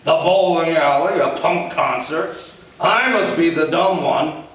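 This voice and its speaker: Man doing a loud ranting drunk father. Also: a little high, unhurried, very echoey, recorded from a distance, pitched above the performer's own voice, rough recording. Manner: ranting, belittling, sarcastic. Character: angry loud middle-aged drunk father.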